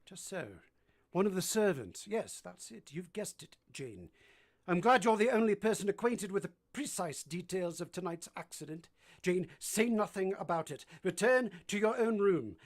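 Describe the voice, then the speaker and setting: A man acting a middle-aged gentleman master of the house in a vintage radio drama, intense, halting and commanding. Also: high-pitched, animated. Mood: guarded, commanding.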